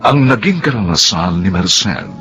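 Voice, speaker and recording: A man's voice: deep voice, very animated, a little slow, gravelly. A man with a Filipino accent announcing a radio drama in a theatrical, declamatory way. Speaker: announcer and host. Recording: loud guitar music underneath.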